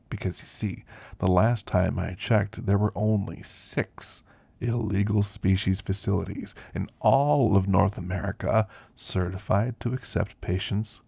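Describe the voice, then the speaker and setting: A man playing an elderly, ailing werewolf in an ASMR roleplay, gentle and reflective, reminiscing in a soft, deliberate, gravelly voice. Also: low-pitched, no echo, exaggerated voice. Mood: earnest, hopeful. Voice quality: gravelly, husky.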